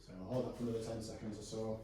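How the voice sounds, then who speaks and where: A man working as a personal trainer, calmly talking a client through stretches in a gym, his voice a little hoarse. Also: lowish voice, racing, noisy background. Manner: relaxed, reassuring instructions with frequent fillers. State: going hoarse. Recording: echoey room from a distance.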